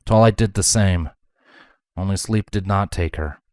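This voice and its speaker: A man's voice: sits low, a little slow, a little mellow, dry recording, clean studio sound. A man reading a story aloud as scripted narration. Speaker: fan fiction narrator.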